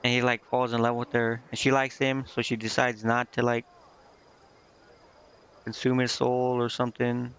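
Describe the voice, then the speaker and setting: A man's voice: mid-range voice, monotone, moderate pace, long pauses, fairly bright tone. A man giving casual game stream commentary in a hesitant, vague, meandering way. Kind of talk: casual rambling game stream commentary, reading dialogue aloud. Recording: dull lo-fi mic with clear background noise.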